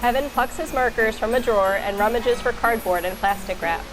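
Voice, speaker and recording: A woman reading a children's picture book aloud in an expressive storytelling style. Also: a little high, a little fast, music in the background, low-quality recording.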